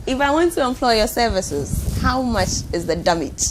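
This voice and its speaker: Lively, teasing woman talk-show host. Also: fairly high pitch, normal speed, noisy background. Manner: playful, teasing, laughs often. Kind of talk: casual studio chat. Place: TV studio.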